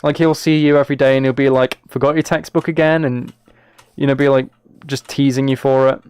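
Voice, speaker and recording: A young British man streaming a game and chatting as he plays. His voice is smooth and clear, captured close and dry. He talks casually, with wry sarcasm and playful mock exasperation. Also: mid-range voice, level tone, a little fast, darkish tone, no echo. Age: late teens to early 20s. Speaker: live streamer. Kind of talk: casual gaming livestream chat, commentary.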